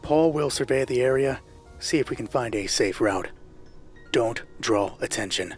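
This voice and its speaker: A man voicing a terse military squad member in a tabletop roleplay, giving clipped, measured orders. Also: mid-range voice, expressive, faint music behind, close to an everyday voice. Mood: terse, controlled.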